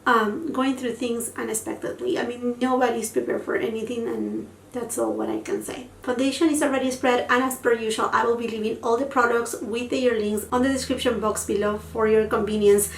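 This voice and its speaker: A woman's voice: a little high, fairly even intonation, brisk, hardly any pauses. Chatty woman, roomy sound. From Peru. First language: Spanish. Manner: chatty and effusive, many fillers like "you know". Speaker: beauty vlogger. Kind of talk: casual makeup tutorial chat. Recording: roomy sound, mic at a distance, faint noise.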